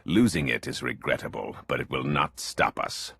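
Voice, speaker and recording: An actor playing a cold, fanatical male conspirator in a video game, gravelly, stern and deliberate, with grim menace. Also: expressive, fairly bright tone, dry recording, heavily acted. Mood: cold, resolute. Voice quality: gravelly, very deep. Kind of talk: dramatic video game dialogue.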